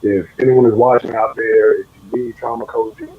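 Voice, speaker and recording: A young adult man co-hosting a talk show, speaking earnestly and haltingly with repeated words. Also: lowish voice, steady tone, breathy edge. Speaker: co-host, treasurer of the nonprofit. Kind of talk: casual chat. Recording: dull, muffled lo-fi audio.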